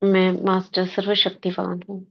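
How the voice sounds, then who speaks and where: A woman leading a guided spiritual meditation, speaking in short, measured devotional phrases with pauses between them. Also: medium pitch, completely flat delivery, creaky, breathy edge, muffled recording. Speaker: meditation leader.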